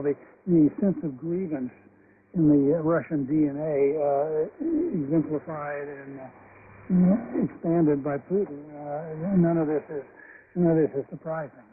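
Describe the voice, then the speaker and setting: Muffled shortwave radio, faint static, American man, higher-pitched voice, halting news analysis. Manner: halting, measured phrasing with repeated words. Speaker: journalist and panel commentator.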